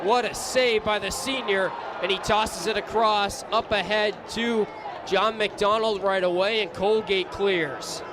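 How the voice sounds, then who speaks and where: A young man with a high voice calls a live lacrosse game as a radio play-by-play announcer. He is excitable and lifts into shouts on big plays, with a busy crowd and plenty of noise behind him. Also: unhurried. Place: sports stadium during a live game. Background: crowd and arena noise.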